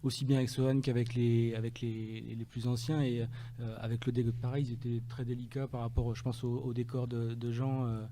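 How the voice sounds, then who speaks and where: A man with a very dark, mellow voice at a middle pitch. He speaks haltingly, with many restarts, as a guest on a radio show. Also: level tone. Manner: hesitant, many fillers and restarts. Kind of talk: radio interview.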